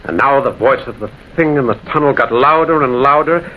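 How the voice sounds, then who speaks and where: An actor playing a concerned railwayman in an old radio drama, telling a ghostly encounter in a dramatic, deliberate, urgent way. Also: medium pitch, natural voice. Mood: eerie, grave. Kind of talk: 1940s radio acting, dramatic first-person narration. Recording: dull, hissy old radio recording.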